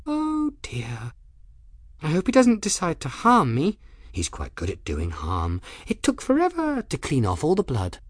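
A narrator voicing an odd, fey, flustered young apprentice girl in an audiobook, breathy and rambling to herself in the third person. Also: medium pitch, huge pitch swings, moderate pace, no echo, clean studio sound, voice pushed up. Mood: anxious, breathy. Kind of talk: audiobook character dialogue.

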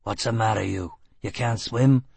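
Man playing a gruff, terse escaped prisoner. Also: fairly low pitch, steady tone, normal speed, darkish tone, dry recording, exaggerated voice.

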